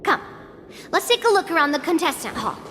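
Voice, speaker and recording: High-pitched confident young sword master. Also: female voice, big pitch swings, brisk, a bit bright, big-room sound, slight background noise. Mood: instructing. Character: confident young female sword master mentoring her pupil. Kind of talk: video game character voice acting. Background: game music.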